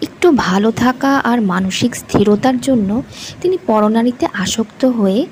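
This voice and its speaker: A woman's voice: on the high side, normal speed, darkish tone, slight background noise. A woman reading a scripted motivational story as its narrator. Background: music.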